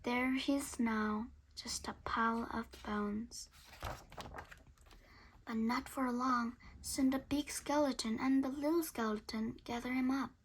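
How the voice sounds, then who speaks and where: A woman reading a children's picture book aloud in a theatrical way, moving between hushed whispers and emphatic bursts. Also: a little high, fairly even intonation, unhurried, slight background noise.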